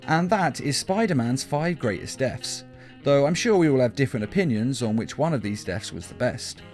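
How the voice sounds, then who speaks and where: Lively male countdown narrator over guitar music. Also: medium pitch, normal speed. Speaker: video list narrator. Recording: music behind the voice. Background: guitar backing music.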